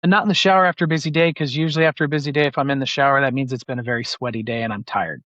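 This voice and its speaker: A man's voice: medium pitch, fairly even intonation, very fast, fairly bright tone, dry recording, highs cut off. A man in his forties from Utah, a banker chatting as a guest in a casual interview, rambling with lots of filler words. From Utah, USA. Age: 40s. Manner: rambling, filler-heavy, many "like"s.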